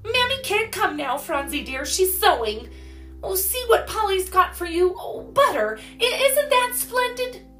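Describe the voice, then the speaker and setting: A woman's voice: very high-pitched, big pitch swings, measured pace, bright, forceful tone, pitched above the performer's own voice, full character voice. A woman voicing a caring, bubbly little girl in a storybook, with eager, exclamatory, folksy old-fashioned speech. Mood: coaxing. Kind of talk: storybook character voice.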